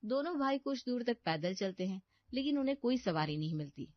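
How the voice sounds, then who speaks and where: A woman reading a cartoon bedtime story as narrator, in an even, clear storybook manner. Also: a little high, fairly quick, dry recording. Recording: dull, muffled studio audio.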